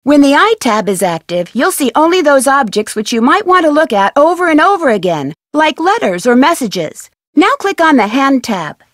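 A woman with an American accent voicing a friendly, inquisitive young amateur detective in a scripted video game, speaking in a chipper, clearly enunciated way. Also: high voice, sing-song, normal speed, hardly any pauses, no echo, clean studio sound. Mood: chipper, instructive. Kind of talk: scripted video game voice lines and tutorial narration.

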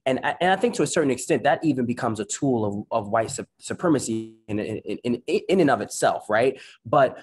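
A man's voice: medium pitch, expressive, a little fast, hardly any pauses, slightly rough. A man whose first language is Spanish, speaking earnestly on a video call panel, often restarting and asking "right?". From United States. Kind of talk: reflective panel discussion. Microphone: webcam on video call.

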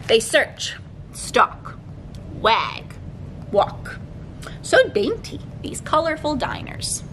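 A young woman with a fairly high voice reads to little children as a storytime librarian. She takes her words very slowly, phrasing them deliberately and articulating each one. A light low rumble sits behind her in the library room. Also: very animated, fairly bright tone. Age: young adult. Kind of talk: read-aloud picture book storytime for young children. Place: library room with bookshelves, indoors.

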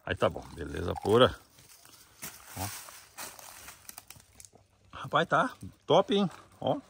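A young man chatting with farmers outdoors, asking quick, upbeat questions while filming on a phone at arm's length. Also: on the low side, huge pitch swings, vocal fry, fairly bright tone, a little hiss or hum. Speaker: vlogger interviewing farmers. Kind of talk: casual rural interview. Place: outdoors on a hillside farm. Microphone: phone held at arm's length.

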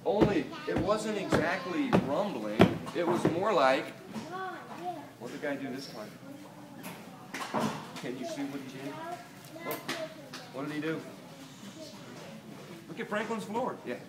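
A middle-aged American man from Delaware reads a picture book aloud to children, expressively, with deliberate pauses and questions to his listeners. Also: fairly high pitch, big pitch swings. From Delaware, United States. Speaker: children's author. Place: small toy shop, indoors. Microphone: camera a few meters away. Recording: roomy, distant camera pickup, loud room noise.

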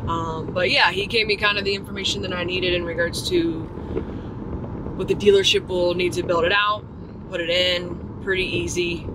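A woman's voice: fairly low pitch, fairly even intonation, normal speed, background noise. An American woman chatting informally and upbeat as co-host of a casual travel vlog.

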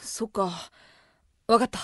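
An actress playing a cool, princely tomboy who is easily flustered, with dramatic boyish phrasing in an anime audio drama. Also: female voice, unhurried, dry recording, studio quality, lightly acted. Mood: brooding, resigned.

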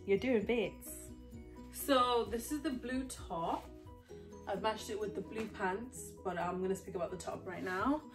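A woman's voice: medium pitch, measured pace, over music. A young woman, not a native English speaker, chatting animatedly in a home try-on haul vlog and calling her viewers guys. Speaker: fashion vlogger. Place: home living room, indoors.

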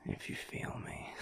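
A man playing a flirty, affectionate werewolf lover in an intimate audio roleplay, teasing in a breathy, gravelly murmur.

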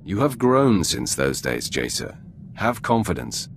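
An actor playing a powerful, cool and aloof Sith Lord in a video game, speaking in a measured, commanding way. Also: male voice, low voice, big pitch swings, slight background noise, exaggerated voice. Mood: calm, firm. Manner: measured, authoritative, imperious.

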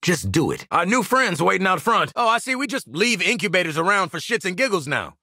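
Game casting: an irritable, cocky gun-for-hire, sardonic and punchy, highly animated pitch, husky tone. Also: male voice, a little fast, dry recording, studio quality, full character voice. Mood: sardonic, irritated. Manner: slangy, sardonic, punchy delivery. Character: cocky, irritable male mercenary sharpshooter, sardonic squad member. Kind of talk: video game character voice lines.

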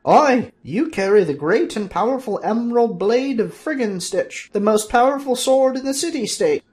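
A man's voice: very high-pitched, animated, normal speed, pitched above the performer's own voice. Man doing a hearty old wizard. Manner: old-fashioned rustic speech, loud and hearty.

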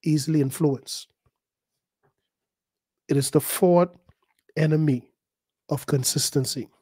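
A man preaching a sermon, his fairly high voice leaping higher and louder when he drives a point home. He repeats words as he builds and acts out the voices of the people he describes. The sound is dry and close. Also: steady tone, slow pace, slightly rough, no echo. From the Bahamas. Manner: emphatic, rising to high pitch and volume at key points. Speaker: pastor.

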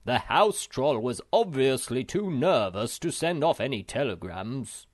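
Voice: medium pitch, expressive, measured pace, dry recording, clean studio sound, voice pushed up. A reader voicing Moomin Papa, a genial, somewhat self-important father troll.

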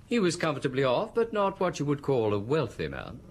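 A genteel society nephew in an old radio play. He is polite and hesitant, and his pitch swings very widely as he talks. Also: huge pitch swings, fairly quick, a little hiss or hum. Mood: uneasy, polite. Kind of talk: old radio drama acting.

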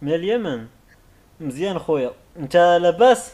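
A young Moroccan man with a Moroccan accent, chatting playfully in halting English over a webcam in a small room. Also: a little high, sing-song, a little mellow. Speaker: vlogger chatting with strangers. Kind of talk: casual video chat.

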